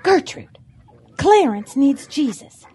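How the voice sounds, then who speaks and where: Audio drama farm animal, played by a woman: sensible peacemaker, pleading and pious, extremely high, animated voice. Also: slow pace, heavily acted. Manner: earnest, pleading, moralizing.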